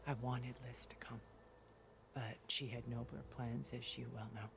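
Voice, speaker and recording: An actress voicing a cultured, centuries-old woman recluse in a video game cutscene, speaking in a calm, deliberate and elegant way. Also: rumbling low, gravelly, noisy background, full character voice. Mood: measured. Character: cultured, ancient woman recluse, measured and regretful. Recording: game cutscene with music underneath.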